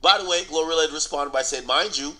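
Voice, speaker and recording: A man's voice: on the high side, fast, pushed and bright. A man giving casual, slangy commentary on celebrity gossip, bluntly and with repeated phrases for emphasis. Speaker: online commentator and host.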